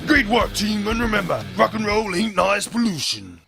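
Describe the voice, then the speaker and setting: A man's voice: high voice, very animated, moderate pace, loud music behind the voice, exaggerated voice. A man playing a swaggering heavy-metal messiah in a comedy audio drama, preaching grandiosely in rock slogans. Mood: cool swagger. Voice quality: gravelly.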